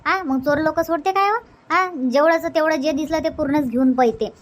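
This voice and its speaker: Cartoon village auntie played by a woman, high voice, Vidarbha Marathi accent, suspicious gossip with emphatic questioning. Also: normal speed, natural voice. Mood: gossipy, suspicious. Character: gossipy village aunt, suspicious, chatty older relative. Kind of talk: cartoon comedy dubbing.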